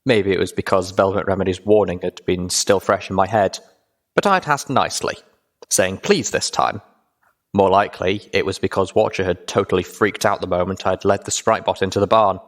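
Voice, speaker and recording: A man's voice: deep voice, moderate pace. A man reading scripted first-person fiction aloud as the narrator.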